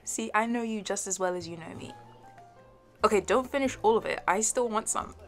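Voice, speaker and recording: A woman's voice: medium pitch, brisk, faint music behind, natural voice. A woman playing a playful, shyly fond young best friend in an intimate audio roleplay, giggly and hesitant. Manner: giggly, hesitant, soft trailing asides. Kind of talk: intimate audio roleplay, one side of a conversation.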